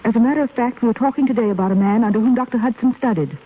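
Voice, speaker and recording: A woman's voice: on the high side, racing, slightly rough. Sensible careful woman friend, old time radio drama, tinny crackly sound. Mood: practical, wry. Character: practical, cautious woman, a candid confidante. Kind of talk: 1930s radio drama acting. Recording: muffled scratchy 1930s radio broadcast.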